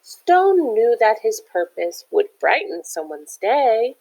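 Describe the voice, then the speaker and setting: A woman's voice: fairly high pitch, sing-song, takes it slowly, creaky, warm tone, poor audio. A woman reading a picture book aloud like a teacher, with chatty, encouraging asides that explain things simply to young listeners. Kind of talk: animated children's read-aloud with chatty asides.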